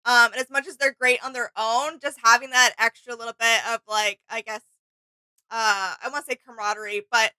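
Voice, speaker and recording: Girl cohost on a game reaction thing, bright higher voice, agreeable, dry close audio. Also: steady tone, fairly quick, dry recording, rough recording. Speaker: co-host of a video game reaction show. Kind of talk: casual reaction chat.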